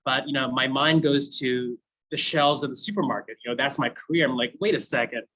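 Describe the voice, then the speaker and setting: A man's voice: mid-range voice, a little fast, fairly bright tone, poor audio. A man in his thirties, a food brand founder, telling his story to a class in a chatty way with frequent laughs and fillers. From United States. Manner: chatty, laughs often, many fillers like "you know". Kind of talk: casual storytelling talk.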